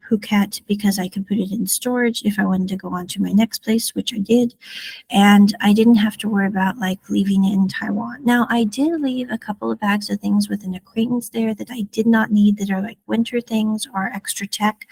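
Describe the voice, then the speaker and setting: A woman with a gravelly, husky voice recounts her travels in an easygoing chat. She wanders off on asides and fixes her own words as she goes. The sound is close and dry, with no room around it. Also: mid-range voice, a little mellow, no room sound, poor audio. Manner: rambling, self-correcting, chatty asides. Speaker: podcast host. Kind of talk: casual travel storytelling.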